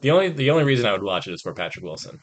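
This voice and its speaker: Male co-host, slightly deep voice, easygoing conversational podcast talk. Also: very animated, rapid-fire. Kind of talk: casual chat.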